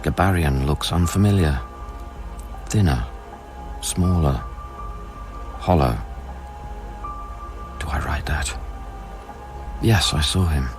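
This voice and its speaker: An actor playing a haunted scientist in a radio play, narrating eerie events in a measured, brooding, literary way. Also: male voice, extremely low pitch. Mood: haunted, hesitant. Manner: measured, brooding, literary, sometimes dropping to a whisper. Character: haunted male scientist recounting eerie events aboard space station. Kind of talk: dramatic first-person radio play narration. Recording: radio play with music underneath. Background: prominent music.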